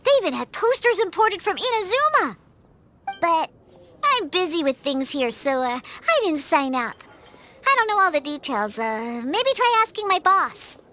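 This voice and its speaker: A woman's voice: very high voice, big pitch swings, moderate pace, noisy background. A woman voicing a young cat-eared girl in a video game, childlike and earnest with a slightly huffy manner. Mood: explaining. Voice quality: childlike, girlish. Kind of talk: video game character dialogue.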